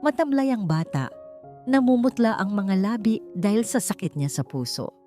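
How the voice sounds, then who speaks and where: A woman's voice: medium pitch, animated, moderate pace, warm tone, faint music behind. A woman narrating a dramatic first-person confession in a solemn, anguished manner. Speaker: story narrator.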